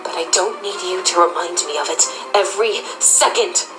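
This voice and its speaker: A woman playing a regal, gentle but burdened pony princess in an audio drama, composed and earnest. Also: lowish voice, moderate pace, music in the background, close to an everyday voice. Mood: pleading, firm.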